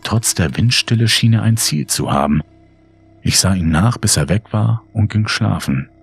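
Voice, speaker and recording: A man with a husky, breathy voice reads a scripted horror story in a measured, suspenseful way with deliberate pauses. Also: fairly low pitch, lively intonation. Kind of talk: dramatic scripted audiobook narration.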